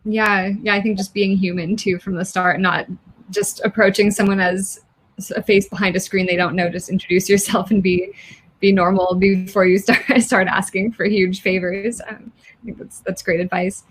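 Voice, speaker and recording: A woman's voice: mid-range voice, little pitch movement, moderate pace, breathy edge. A woman hosting an interview, asking questions in a chatty, friendly way. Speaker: interviewer host.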